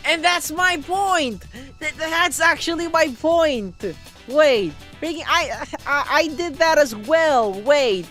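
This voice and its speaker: A man live streaming, chatting casually to his viewers in an excitable, rambling way with lots of restarts. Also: extremely high pitch, takes it slowly, soft background music. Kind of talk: casual chat to a live audience.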